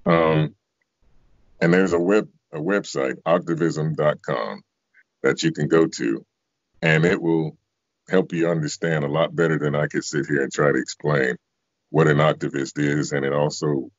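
Extremely deep bass-voiced man in his fifties. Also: steady tone, moderate pace, no room sound. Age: 50s. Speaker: interviewee, bass singer and voice actor. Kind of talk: reflective conversational interview answers. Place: indoor room, video call. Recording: dull, lo-fi video call audio.

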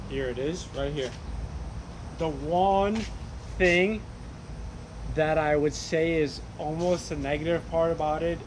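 Enthusiastic young man reviewing gear over hum. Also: on the high side. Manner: enthusiastic, rambling with restarts. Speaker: fishing channel host. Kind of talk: casual product review.

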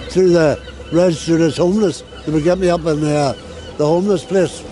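A man's voice: fairly high pitch, steady tone, brisk, a crowd in the background. An older man, coughing often and talking in a rambling way in a street interview on a busy city street. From Northern Ireland.

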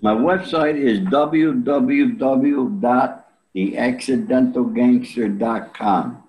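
An elderly man talking casually and bluntly as an interview guest, salty and pausing often, on a video call from a room at home. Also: medium pitch, a little mellow, low-quality recording. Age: 70s or older. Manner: blunt, salty, with frequent pauses.

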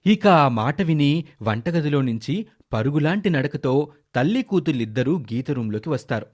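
A man's voice: on the high side, animated, moderate pace, darkish tone. A man narrating a scripted moral story as the storyteller.

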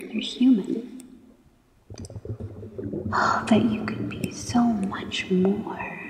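A woman's voice: mid-range voice, natural voice. Woman acting a whispering, possessive siren. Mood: proud, coaxing. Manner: soothing, slow, coaxing, possessive. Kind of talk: whispered ASMR fantasy roleplay. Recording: cavernous reverb, echoey whisper recording.

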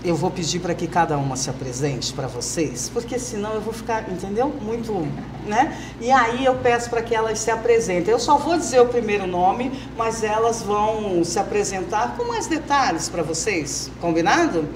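A woman's voice: medium pitch, animated, normal speed, few pauses, reverberant. A Brazilian woman hosting a podcast in an informal, conversational way. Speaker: podcast host. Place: small wood-panelled TV studio, indoors. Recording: clearly noisy room ambience. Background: background noise.

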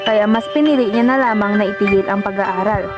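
A woman's voice: mid-range voice, brisk. A woman giving scripted storytelling narration for a radio drama. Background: loud music.

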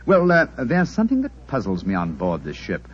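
A shrewd detective questions people with quick, courteous ease. His voice is a little husky and moves lively up and down. The old broadcast sounds dull and lo-fi. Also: medium pitch. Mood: polite, probing. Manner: polite, probing, quick-spoken. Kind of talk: 1940s radio acting.

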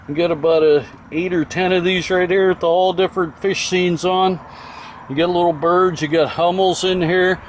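High-pitched folksy man listing items outdoors. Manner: folksy, itemizing in short phrases. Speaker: auction house presenter. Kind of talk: casual off-the-cuff walkthrough commentary of auction items. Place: outdoors in an open-air auction yard. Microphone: handheld camera held by the speaker. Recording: outdoor ambient noise.

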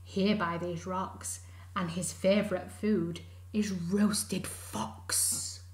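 A woman voicing a clever, polite little brown mouse in a hushed, breathy way in a storybook reading. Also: on the low side, steady tone, drawn-out words. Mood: sly. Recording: roomy echo.

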